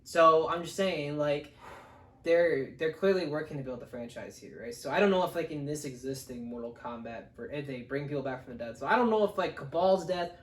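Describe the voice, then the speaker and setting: A man's voice: fairly high pitch, lively intonation, a little fast, a bit bright, far from the mic. Young man chatting, roomy echoing sound. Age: young adult. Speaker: film review co-host. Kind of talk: casual chat review.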